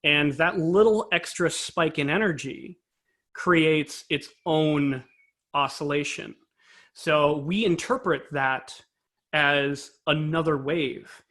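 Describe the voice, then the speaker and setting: A man with a fairly high voice speaks as an interview guest. He explains technical matters informally and analytically, taking care over exact wording. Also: slow pace, fairly bright tone. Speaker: interview guest, composer and conductor.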